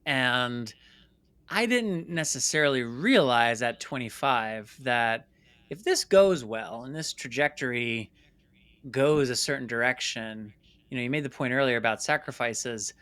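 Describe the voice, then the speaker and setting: A man in his late thirties from New York City, an interview guest who talks in an emphatic, animated storytelling way and voices imagined dialogue. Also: mid-range voice, unhurried, clear, resonant tone, no room sound. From New York City, United States. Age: late 30s. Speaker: interview guest, filmmaker and company founder.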